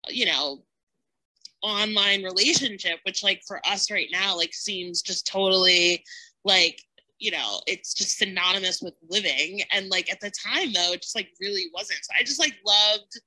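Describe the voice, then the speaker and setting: A woman's voice: mid-range voice, normal speed, bright, forceful tone, poor audio. A woman chatting casually on a podcast panel, effusive and rambling, peppering her sentences with "like". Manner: effusive, rambling, heavy use of "like". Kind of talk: casual podcast chat about a TV show.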